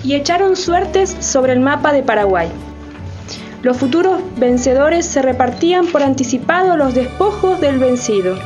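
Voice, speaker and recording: A female narrator delivers scripted radio drama in a declamatory manner. Her voice is notably high, over faint music. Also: expressive, moderate pace, darkish tone.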